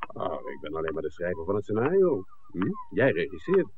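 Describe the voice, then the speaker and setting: An actor playing a level-headed screenwriter with a dry, teasing, sceptical way of talking in a 1960s Dutch radio play. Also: male voice, sits low, wildly swooping pitch, brisk, slight background noise. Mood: wry, measured. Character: wry, level-headed male screenwriter, companion to the director. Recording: thin, muffled 1960s radio recording.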